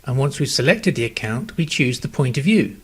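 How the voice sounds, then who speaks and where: A man narrating a scripted software tutorial in a measured, step-by-step instructional manner. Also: mid-range voice, very animated, slight background noise.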